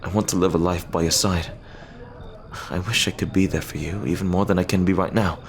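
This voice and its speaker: A man playing an earnest, lovestruck pirate captain in an ASMR roleplay, speaking haltingly and tenderly in formal, old-fashioned phrases. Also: low voice, steady tone. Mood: tender, pleading. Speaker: audio roleplay performer.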